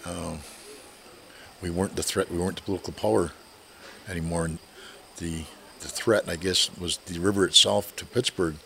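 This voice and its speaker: An older man speaking slowly and reflectively in an interview, pausing with frequent fillers. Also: low voice, fairly even intonation, creaky, bright, forceful tone, slight background noise. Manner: measured, hesitant with fillers. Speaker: interviewee, Seneca elder.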